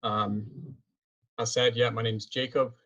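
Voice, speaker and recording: A man's voice: mid-range voice, flat delivery, a little slow, fairly bright tone, dull audio. A young Scottish man, a PhD student, giving a webinar presentation over a video call in an earnest way with frequent restarts. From Scotland.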